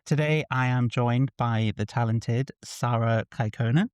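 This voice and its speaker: A man's voice: medium pitch, measured pace, darkish tone, clear, resonant tone, no room sound, studio quality. A man hosting a podcast, introducing his guest and asking loose, hesitant questions. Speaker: podcast host. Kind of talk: podcast interview.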